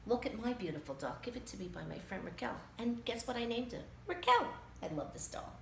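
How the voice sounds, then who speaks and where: A woman's voice: fairly high pitch, lively intonation, brisk, creaky. A woman playing a brash, opinionated, gushing storybook guest reader who chats in theatrical asides. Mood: opinionated, excited. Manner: chatty, theatrical, interrupting with asides. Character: Selma, a brash, opinionated, Fancy Nancy-obsessed guest reader. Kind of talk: children's storybook read-aloud. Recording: roomy, distant mic with faint noise.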